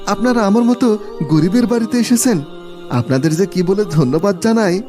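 A man's voice: high voice, normal speed, warm tone, soft background music, close to an everyday voice. A man playing a poor, pious and earnest village farmer father in a cartoon. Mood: overjoyed, grateful. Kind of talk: cartoon voice acting.

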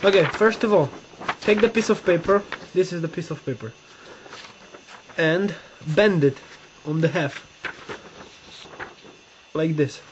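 A young man with a Romanian accent and a fairly high voice talks through a paper-folding demo, pausing and repeating himself while he searches for English words. The sound is dull, lo-fi webcam audio with a faint hiss behind it. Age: young adult. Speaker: tutorial maker. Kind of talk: casual step-by-step demonstration. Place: bedroom, seated on a bed indoors. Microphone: webcam close-up.

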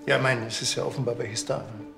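An actor playing a dry, blunt, deadpan police officer in a TV crime comedy. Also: male voice, medium pitch, quick pace, music in the background, lightly acted.

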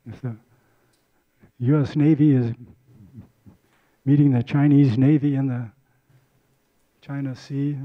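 A man's voice: mid-range voice, no echo, phone-quality sound. An older man giving a casual community lecture on a handheld mic, often repeating and restarting words. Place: lecture room.

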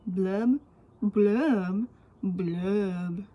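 A woman's voice: medium pitch, drawn-out words, lo-fi sound. A woman voicing a glum, gloomy male fish in a children's storybook, speaking in mopey, resigned singsong rhyme.

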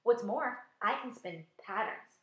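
A woman's voice: a little high, lively intonation, slow pace. Woman voicing an anxious, dramatic lady spider. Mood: indignant, proud. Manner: pleading, dramatic, emphatic. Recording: echoey, roomy sound.

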